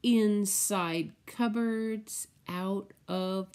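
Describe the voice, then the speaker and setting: A middle-aged woman, a preschool teacher, reading a picture book aloud to small children and explaining things simply in a deliberate, drawn-out way. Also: medium pitch, darkish tone. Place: indoors, room at home with window blinds.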